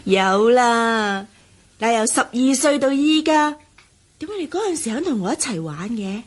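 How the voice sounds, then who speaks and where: A woman's voice: a little high, animated, a little slow. An actress playing a spoilt, inquisitive young female model in a Cantonese radio drama, chatty and confiding.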